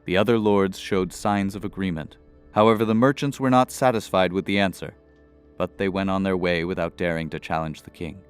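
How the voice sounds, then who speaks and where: A man's voice: low-pitched, steady tone, brisk, clean tone, soft background music. A man narrating a scripted fantasy audio drama as its storyteller.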